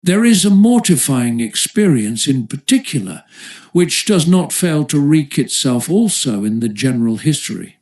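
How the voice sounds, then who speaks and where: Male audiobook narrator, slightly high voice, measured and formal delivery for classic essay prose. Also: very animated, darkish tone.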